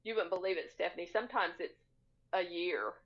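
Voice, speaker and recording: A woman's voice: on the low side, fairly quick. Woman podcast guest on dull lo-fi audio. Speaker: podcast guest, sewing designer. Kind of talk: casual interview chat. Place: split-screen video call. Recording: dull lo-fi video call audio.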